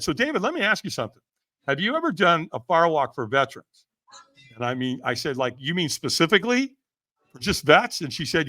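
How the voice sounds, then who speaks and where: Older male podcast guest. Energetic, emphatic raconteur with casual slang, voices both sides of remembered exchanges. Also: medium pitch. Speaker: podcast guest, firewalking event leader. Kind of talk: energetic storytelling in casual interview.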